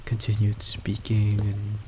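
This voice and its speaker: A young man speaks in a quiet, low, soft voice, slow and nearly monotone. He hesitates and circles back on himself, with fillers in nearly every sentence. His boosted desktop mic also picks up a clear hiss and keyboard typing. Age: young adult. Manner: hesitant, halting, many restarts and fillers. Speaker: college student. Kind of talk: rambling personal monologue. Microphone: desktop microphone with boosted gain.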